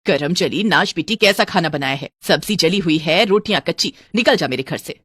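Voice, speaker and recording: Woman doing a bossy older saas for a story cartoon, scolding and taunting, loud and sharp. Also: on the low side, animated, normal speed, breathy edge, dry recording. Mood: angry scolding.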